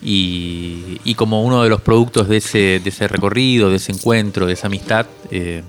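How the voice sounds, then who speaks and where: A man in his forties from Cuba, speaking reflectively as an interviewed author, often halting as he searches for words. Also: lowish voice, fairly even intonation, faint music behind.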